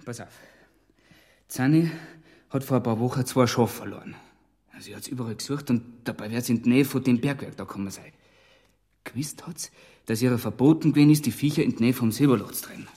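Male actor, Bavarian dialect, police inspector, middle-aged, raspy voice, reasoning, terse, radio crime drama. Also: medium pitch, fairly quick, warm tone, lightly acted. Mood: deducing, hushed. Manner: reasons aloud, terse orders. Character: brisk male police inspector in a 1920s Alpine village, reasoning and commanding.

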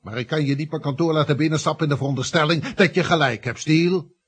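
A man's voice: fairly high pitch, fast talker, breathy edge. A man acting in a Dutch radio play as a domineering older estate agency boss, curt, commanding and self-assured. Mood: imperious, dry.

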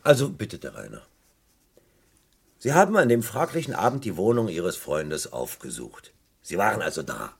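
An actor playing a stern, impatient British police interrogator in a German radio crime play, with a commanding, sarcastic way of questioning. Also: male voice, low-pitched, wildly swooping pitch, normal speed, vocal fry, slightly rough, full character voice. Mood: impatient, probing.

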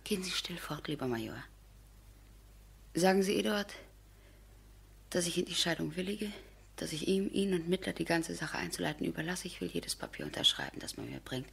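An actress playing a grieving, resolute noblewoman in a radio play, very breathy and often near a whisper. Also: fairly low pitch, big pitch swings, moderate pace, full character voice. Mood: resigned, firm. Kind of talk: German radio play acting.

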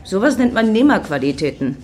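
An actress playing a snarky, disdainful clubgoer in a comic radio play, dry and sarcastic. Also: female voice, mid-range voice, sing-song, brisk, a little mellow, natural voice. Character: snarky, disdainful female clubgoer waiting in the queue. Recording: music behind the voice.

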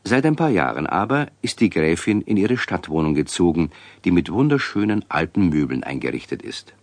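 Low male narrator for a kids radio story, really expressive, dry close sound. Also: normal speed, no echo. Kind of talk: scripted children's story narration.

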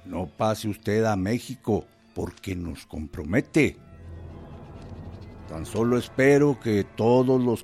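A courteous state governor. The delivery is slow and measured, in a lowish voice, as in a radio drama with faint music behind. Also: male voice, gravelly, mellow, heavily acted. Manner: measured, formal. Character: courteous, cautious state governor, a revolutionary's male ally. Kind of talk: radio drama acting, reading a letter.

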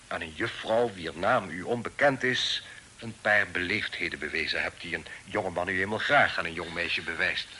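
Proud middle-aged fugitive father, period radio drama: forceful, formal, commanding delivery, faint tape hiss. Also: deep voice, heavily acted, rough recording. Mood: subdued, courteous. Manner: formal, insistent, eloquent old-fashioned speech. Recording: hissy old radio play recording.

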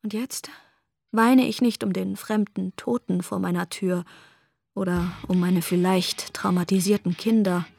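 An actress playing a despairing, self-pitying woman in a radio drama, hushed, with a gravelly, husky voice. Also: mid-range voice, steady tone, unhurried.

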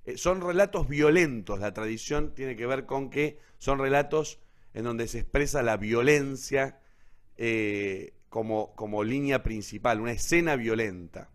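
A man's voice: mid-range voice, measured pace. Man, Argentine accent, literature host, didactic, informal online talk, frequent tag questions.

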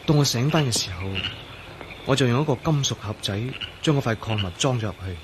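An actor in a radio drama playing a troubled, excitable man who tells of his ordeal in Hong Kong Cantonese, with sighs and hesitation. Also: medium pitch, fairly quick, noisy background, close to an everyday voice. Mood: troubled recounting. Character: troubled man named Ah-Yin, turned invisible, recounting his ordeal.

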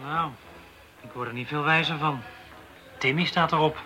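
An actor playing a wisecracking, grumbling police detective in a radio drama, with an ironic, bantering delivery. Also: male voice, on the high side, normal speed, bright, forceful tone, faint music behind, poor audio. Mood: teasing. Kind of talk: Dutch radio drama acting. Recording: radio play with faint background noise.

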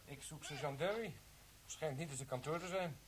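An actor playing a curious police sergeant in a radio play, dry and inquisitive. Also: male voice, on the high side, normal speed, natural voice. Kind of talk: broadcast radio play acting.

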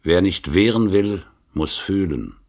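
A man's voice: low-pitched, expressive, measured pace, darkish tone, slight background noise. An actor playing a solemn, stern male proclaimer in a radio play, delivering weighty, ominous pronouncements.

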